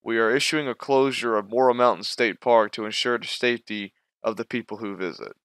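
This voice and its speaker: A man playing a park ranger who gives a formal, scripted official statement in a measured way. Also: medium pitch, steady tone, a bit bright, smooth, no echo, natural voice.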